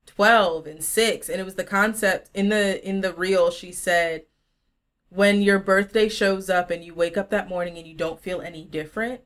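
A woman's voice: lowish voice, fairly even intonation, moderate pace, fairly bright tone. Laid-back woman in her late twenties. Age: late 20s. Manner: reflective, laid-back, joking. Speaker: podcast co-host. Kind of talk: casual chat.

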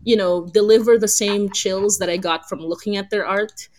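A woman's voice: mid-range voice, steady tone, normal speed, a little hiss or hum. A woman hosting a casual group chat on a live podcast, talking in a giggly, enthusiastic manner. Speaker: podcast host and creator.

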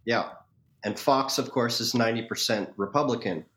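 A man's voice: medium pitch, normal speed, fairly bright tone. Echoey, wordy American man. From Hawaii, United States. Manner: wordy, erudite, wry. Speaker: podcast guest. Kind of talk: conversational interview, opinionated storytelling.

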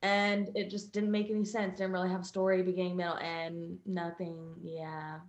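A woman's voice: on the low side, level tone, normal speed, highs cut off. A woman hosting a podcast, chatting casually in informal, slangy banter. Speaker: podcast host. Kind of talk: casual chat. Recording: roomy echo.

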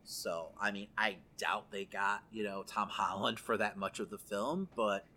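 A man's voice: on the low side, a little slow, bright, forceful tone, a little hiss or hum. A man co-hosting a podcast, chatting casually through entertainment news. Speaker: podcast co-host.

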